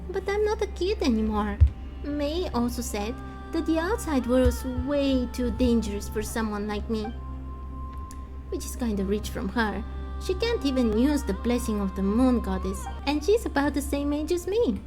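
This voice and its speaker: A woman's voice: fairly high pitch, sing-song, normal speed, over music, poor audio. A woman voicing a chatty, self-assured little girl from a game, telling stories in a confiding, matter-of-fact way. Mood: confident, scheming. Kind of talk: fan voice-over of game dialogue.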